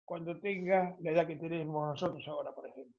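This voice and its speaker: A man's voice: a little high, fairly even intonation, quick pace. An older man with an Argentine Spanish accent, interviewing a guest in a conversational style. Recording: dull lo-fi audio.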